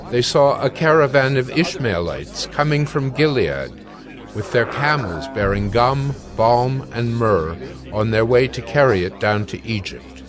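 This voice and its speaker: A man narrating dramatized scripture in a measured storytelling manner. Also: mid-range voice. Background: background music.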